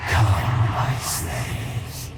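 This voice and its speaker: An actor playing a cruel hybrid alien monster that speaks in slow, imperious commands. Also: over loud music. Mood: domineering. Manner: slow, imperious, shouted orders.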